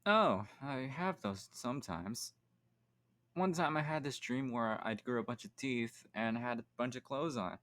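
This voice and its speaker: A man voicing an eager, friendly young creature in an animated comic dub, earnest and chatty. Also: mid-range voice, measured pace, natural voice.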